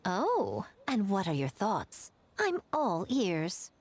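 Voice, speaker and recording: A woman voicing a poised, teasing, shrewd woman of authority in a video game, measured and playful. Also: mid-range voice, wildly swooping pitch, slight background noise. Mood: amused, knowing. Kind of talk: English video game voice acting.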